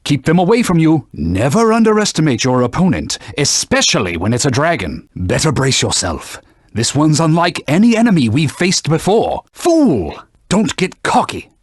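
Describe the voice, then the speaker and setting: A man's voice: a little high, very animated, normal speed, no echo, studio quality. A man voicing a stern, haughty talking sword in a video game, a cautious battle mentor giving formal, scolding commands. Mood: urgent, scolding. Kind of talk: theatrical video game voice acting.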